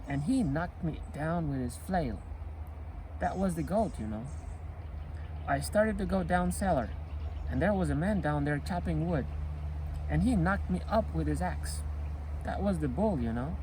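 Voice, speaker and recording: A man's voice: on the high side, background noise. A man reading the part of a frightened robber in a folk tale, telling things in an emphatic, aggrieved way. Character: frightened male robber recounting his scare.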